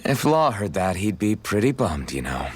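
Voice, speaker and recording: An actor playing an easygoing, modest young swordsman with a gently teasing, casual way of talking in a video game cutscene. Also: on the low side, very animated, moderate pace, slightly rough.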